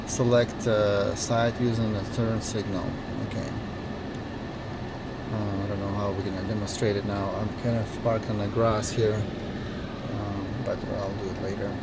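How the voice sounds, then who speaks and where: A man in his forties with a Russian accent, casually showing off a car in a vlog, enthusiastic and halting with many fillers, filmed on a phone at arm's length inside a parked car. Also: lowish voice, steady tone, very noisy. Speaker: car reviewer. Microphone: phone camera at arm's length.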